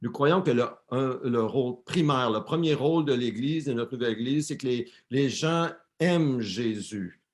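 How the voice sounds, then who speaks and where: A man's voice: mid-range voice, normal speed. A man interpreting a sermon live for a church, often repeating and correcting words as he translates.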